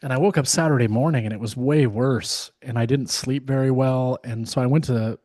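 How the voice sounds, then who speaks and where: A man co-hosting a podcast, hoarse and losing his voice, talking in a casual, rambling way with many fillers and restarts. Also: mid-range voice, a little fast, darkish tone, dry recording, studio quality. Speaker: podcast co-host. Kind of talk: casual chat.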